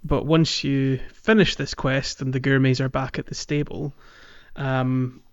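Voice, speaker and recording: A man's voice: medium pitch, little pitch movement, a little slow, smooth, no echo. A man chatting casually and jokily as a gaming podcast co-host. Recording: slightly dull, lo-fi microphone.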